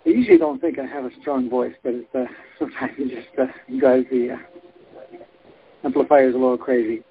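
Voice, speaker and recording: A man hosts a spiritual workshop, rambling along with many small pauses. His voice has a gravelly edge. It comes through a thin, phone-like line with a faint hiss behind it. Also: mid-range voice, sing-song. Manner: rambling, frequent filler pauses. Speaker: workshop host. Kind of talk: relaxed spiritual workshop talk.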